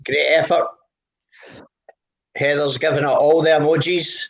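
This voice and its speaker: A man's voice: fairly high pitch, little pitch movement, a little slow, vocal fry. A man hosting an online live music session, chatting casually and rambling with many fillers and restarts.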